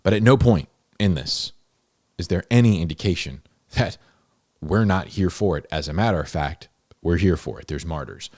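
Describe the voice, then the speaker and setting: Low-voiced man teaching informally, emphatic. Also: animated, moderate pace, darkish tone, no room sound, studio quality. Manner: conversational and emphatic, repeats key phrases for stress. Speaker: host of a Bible-explainer series, teacher. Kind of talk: informal, unscripted Bible teaching.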